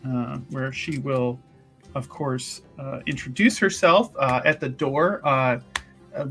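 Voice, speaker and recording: A man playing a tabletop roleplaying game, improvising his character's actions in a playful, measured way with pauses. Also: medium pitch, fairly bright tone, faint music behind. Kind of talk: improvised in-game narration and chat.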